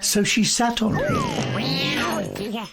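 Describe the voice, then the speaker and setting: A woman reading a children's story in a deliberate, expressive way, with music behind her. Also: fairly high pitch. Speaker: storybook reader. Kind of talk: scripted children's story narration.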